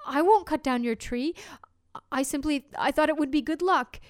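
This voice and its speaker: A woman voicing a poor, hungry woodcutter who talks in a slow, bewildered way in a storybook reading. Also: high-pitched, dry recording, studio quality, lightly acted. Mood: dazed.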